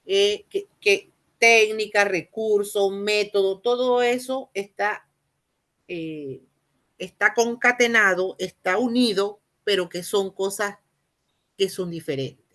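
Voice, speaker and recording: Panamanian woman lecturing, halting and explanatory. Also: medium pitch, a bit bright. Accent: Panamanian Spanish. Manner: halting, repeats and rephrases phrases, rhetorical questions. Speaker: university lecturer in teacher training.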